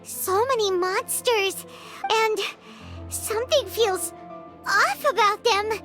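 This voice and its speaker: A woman voicing a small, excitable childlike fairy companion in a video game, with a squeaky voice. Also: slow, full character voice. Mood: alarmed, puzzled. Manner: excitable, exclamatory, speaks of self in third person. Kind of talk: video game cutscene voice acting. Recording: prominent music behind the voice.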